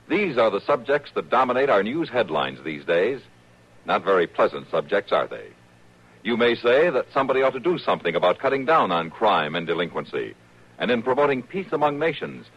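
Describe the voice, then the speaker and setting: American male radio announcer, 1950s style. Mid pitch, husky, animated scripted read. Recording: dull vintage radio recording.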